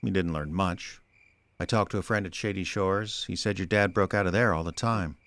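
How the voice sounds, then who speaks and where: A tired wry detective in a radio play, deep voice, dry sarcastic delivery. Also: male voice, moderate pace. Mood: dry, probing. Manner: deadpan, sardonic. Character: wry, weary male small-town private investigator. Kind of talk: first-person noir narration and dry radio drama dialogue.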